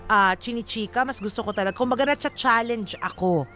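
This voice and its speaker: A woman's voice: on the high side, fairly quick, fairly bright tone, faint music behind. A talkative, frank woman with a Filipino accent co-hosting a radio show in casual, slangy banter. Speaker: radio co-host.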